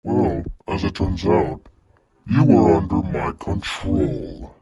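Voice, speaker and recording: A man voicing a menacing, vengeful alien mastermind with a gravelly growl, delivering theatrical, taunting villain monologues. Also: deep voice, takes it slowly, muffled recording, a loud crowd, full character voice. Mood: taunting, bitter. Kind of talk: fan character voice clips, dramatic monologue.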